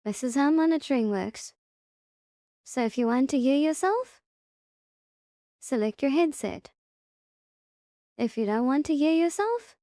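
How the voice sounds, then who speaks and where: Slow, high-voiced woman giving instructions. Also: dark tone, no room sound, studio quality. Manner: instructional, short simple sentences. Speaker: tutorial presenter. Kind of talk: step-by-step software tutorial.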